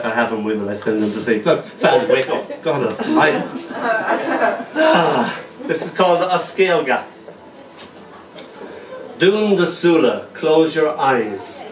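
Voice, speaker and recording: A man from Ireland reading his poems to a live audience in a small cafe, with joking asides between slow, tender recitation. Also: medium pitch. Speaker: poet reading at an open mic. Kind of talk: poetry reading with banter. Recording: roomy, distant, faint noise.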